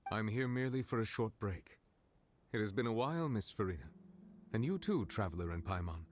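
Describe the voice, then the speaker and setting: An actor playing a formal, courteous official of high rank in a video game, speaking in a measured, polite and reserved way. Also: male voice, animated, a little mellow, slight background noise, close to an everyday voice. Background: music.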